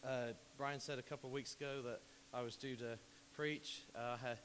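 A man preaching an informal church sermon as a pastor, conversational and emphatic, his voice slightly croaky from recovering from an illness. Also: mid-range voice, steady tone, normal speed, fairly bright tone. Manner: conversational, hesitant asides mixed with emphatic repetition. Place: church hall, preaching to congregation. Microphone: church microphone. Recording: hissy, very reverberant hall recording.

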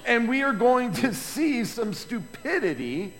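A man preaching a sermon as pastor, chatty and lively, with asides to the congregation. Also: extremely high pitch, unhurried. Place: church sanctuary. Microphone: microphone with feedback issues. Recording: long hall reverb, faint ambience.

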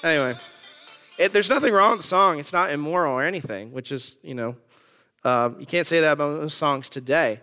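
A man's voice: a little high, fairly quick, bright, forceful tone, soft background music. A man in his thirties preaching a sermon on marriage in a conversational, joking way, asking the congregation questions. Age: 30s. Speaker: pastor.

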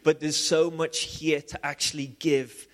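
A man from Liverpool with a Liverpool accent, preaching a sermon with personal testimony in an animated way, full of restarts and "um"s. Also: a little high, measured pace. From England. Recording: long hall reverb.

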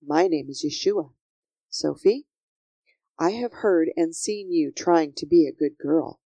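Woman voicing a slow, gentle, solemn king. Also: deep voice, no echo. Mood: reassuring. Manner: measured, kindly, authoritative. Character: gentle, solemn kingly man, patient and reassuring. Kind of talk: children's storybook character voice.

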